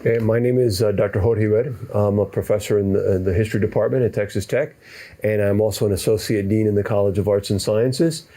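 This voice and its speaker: Male professor, low mellow voice, deliberate, restarts phrases. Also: fairly even intonation. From Cuba, raised in Miami. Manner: deliberate, repeats words while starting. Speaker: history professor, panelist. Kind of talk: panel discussion.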